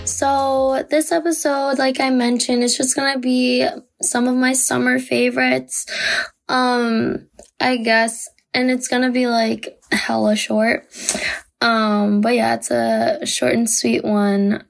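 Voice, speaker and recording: Young woman podcast host, hoarse with a cold, raspy and gravelly with a slightly high pitch, rambling and chatty. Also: level tone, takes it slowly. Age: young adult. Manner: chatty, many fillers and "like". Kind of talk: casual solo podcast chat.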